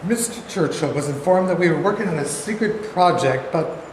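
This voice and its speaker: Far from the microphone in a noisy, very echoey hall, a man reads a deferential government official. The delivery is formal and halting, in a somewhat high voice, as at a stage play reading. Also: expressive, close to an everyday voice. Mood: formal, explanatory. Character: deferential male government official briefing his president. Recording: distant mic, echoey hall, clear noise.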